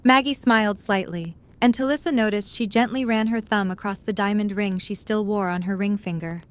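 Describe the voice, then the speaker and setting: A woman's voice: mid-range voice, fairly even intonation, a little fast. A woman narrating a scripted third-person story as part of an audio drama.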